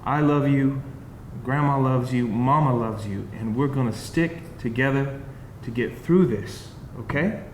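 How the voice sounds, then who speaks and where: A man's voice: mid-range voice, a little slow, few pauses, lightly acted. A man playing a tender grandfather in a roomy hall. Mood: tender, loving. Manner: tender, reassuring. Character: gentle, protective grandfather comforting a grieving granddaughter. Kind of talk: live screenplay table read. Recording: roomy live hall, faint noise.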